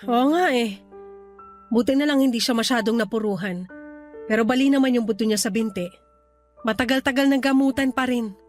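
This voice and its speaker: A woman's voice: a little high, fairly quick, long pauses, soft background music, lightly acted. A woman playing a weary, resentful young wife in a Tagalog radio drama, venting plaintively and hesitantly to her cousin. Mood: weary, confiding.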